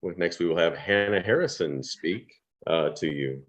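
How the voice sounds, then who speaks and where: A man's voice: deep voice, normal speed, dull audio. A man hosting an online award announcement, speaking off the cuff in a folksy, appreciative way. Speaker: award program host. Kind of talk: unscripted announcing at an online event.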